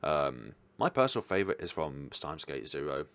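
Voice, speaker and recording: A man's voice: rumbling low, sing-song, moderate pace, a bit bright. A man hosting a casual, chatty ranking of games, rambling and correcting himself as he goes, with an enthusiastic, hesitant manner. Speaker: host reviewing visual novels.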